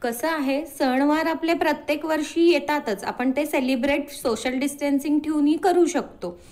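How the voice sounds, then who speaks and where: A woman's voice: high-pitched, normal speed, a little mellow. A woman answering as an interview guest, earnestly explaining and mixing in English words.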